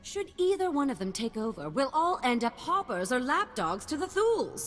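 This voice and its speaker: A woman's voice: high-pitched, very animated, moderate pace, fairly bright tone, faint music behind. A woman voicing a proud, aggrieved noblewoman in a video game, haughty and articulate. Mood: resentful pleading. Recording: long hall reverb.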